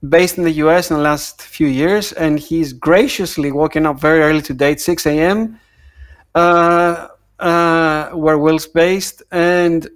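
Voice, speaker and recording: A man hosting a podcast, introducing his guest in a welcoming way with a few small restarts. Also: fairly high pitch. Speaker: podcast host.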